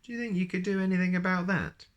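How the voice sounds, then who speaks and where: Cheerful little cartoonish storybook man, chirpy and quick-witted, played by a man. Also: high-pitched, fairly even intonation, muffled recording, pitched above the performer's own voice, close to an everyday voice.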